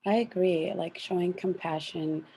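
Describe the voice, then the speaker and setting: A woman's voice: fairly low pitch, steady tone, a little slow, mellow, husky, low-quality recording. A young woman speaking thoughtfully on a discussion panel, with plenty of fillers. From United States.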